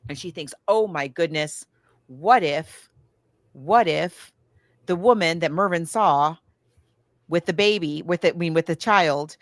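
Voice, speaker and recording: A woman's voice: lowish voice, a little slow, no room sound, studio quality. A woman hosting a podcast, chatting casually and retelling a story in a rambling way. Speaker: podcast host. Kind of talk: casual chat recounting a film plot.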